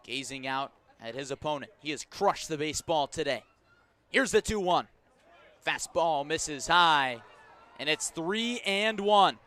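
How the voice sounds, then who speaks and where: A man calling a baseball game live on radio as play-by-play announcer, measured between pitches and emphatic when the action comes. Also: lively intonation, pushed and bright. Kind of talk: live sports radio commentary.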